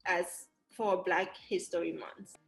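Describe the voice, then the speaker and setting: A woman hosts a video-call chat and puts her questions together slowly and hesitantly, with faint music behind. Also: lowish voice, steady tone, gravelly, a bit bright, poor audio. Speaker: host. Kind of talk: interview questions.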